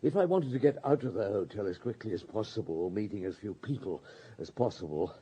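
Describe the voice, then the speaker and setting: An actor playing a shrewd, middle-aged police superintendent in a radio drama, gruff and authoritative, given to musing and terse questions. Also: male voice, lowish voice, animated, fairly quick, warm tone. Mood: probing. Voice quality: gruff, husky. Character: shrewd, unhurried police superintendent on a murder case.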